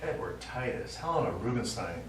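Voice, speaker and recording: An actor playing a stubborn old American war veteran and father, telling his memories haltingly in a stage play. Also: mid-range voice, sing-song, close to an everyday voice. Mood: wistful reminiscing. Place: small theater stage, recorded from the audience. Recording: distant, very echoey theater recording, faint noise.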